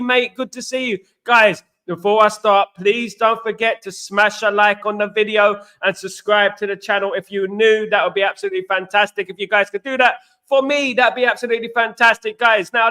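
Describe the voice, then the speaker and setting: A man's voice: sits high, bright, forceful tone. A man from England hosting a casual livestream, chatty and greeting viewers by name, often repeating himself. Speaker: host.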